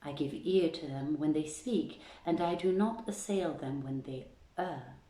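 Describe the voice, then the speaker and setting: Low, slow-paced woman in an echoey room. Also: dark tone. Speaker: host reading aloud at an online event. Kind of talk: informal livestream chat and storybook reading.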